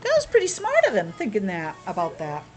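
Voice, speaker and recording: A woman reads a children's story aloud with very animated pitch, swinging up and down as she goes. She often drops into casual, chatty asides to explain things or ask the young listeners questions. Music plays along with her voice. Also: brisk. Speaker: teacher reading a picture book aloud to children.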